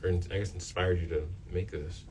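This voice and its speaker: A young man with a very low voice hosts a casual student radio interview. He speaks informally and haltingly, groping for his phrasing. He sounds a little away from the mic, with faint noise behind him. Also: steady tone, darkish tone, distant mic.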